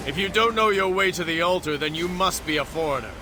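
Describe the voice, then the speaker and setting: An actor playing a stern, suspicious imperial military officer in a video game, with formal, imperious, theatrical delivery. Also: male voice, high voice, fast talker, over music, lightly acted. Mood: haughty, demanding.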